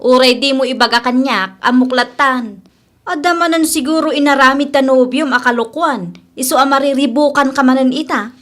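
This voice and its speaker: Outspoken young Ilocano best friend played by a woman, high-pitched, exasperated, nagging, audio drama. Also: moderate pace, fairly bright tone. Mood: concerned, prodding. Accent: Filipino, Ilocano. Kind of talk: radio-style drama acting.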